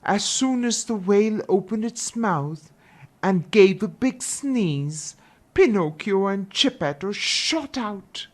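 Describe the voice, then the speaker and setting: A woman narrating a children's fairy tale with deliberate, expressive phrasing. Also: mid-range voice, a little hiss or hum. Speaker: storyteller.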